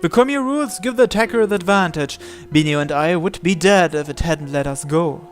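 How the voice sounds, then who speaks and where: A man's voice: a little high, brisk. A man reading visual novel story text aloud as narration, sometimes stumbling over a word and repeating it. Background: background music.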